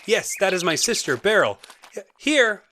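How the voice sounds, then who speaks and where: A man acts out an eccentric gentleman naturalist for a radio play, genial and theatrical, with a fairly high voice that swings widely. He relishes every ominous detail, over a noisy track with birdsong. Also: very animated, moderate pace, heavily acted. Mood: chatty, welcoming. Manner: theatrical, eager, ominous storytelling. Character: genial, eccentric naturalist brother, guide to a wild moor. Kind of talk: audio drama acting.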